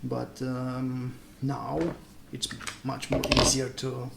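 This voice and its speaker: A man with a creaky voice talks through a product unboxing in halting, non-native English. He takes his time, pausing often to find the word. The room is echoey, with clear noise around him. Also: medium pitch, monotone. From Transylvania, Romania. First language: not English. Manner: hesitant, halting, searching for words. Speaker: product reviewer. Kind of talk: casual unboxing commentary. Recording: echoey room, noisy, clicks and crinkling.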